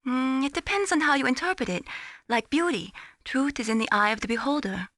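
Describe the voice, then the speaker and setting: An actress playing an earnest, gently teasing young female radar technician in a video game. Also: high voice, animated, fairly quick, no room sound, studio quality. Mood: candid. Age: young adult. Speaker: mission support operator. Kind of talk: scripted video game voice acting.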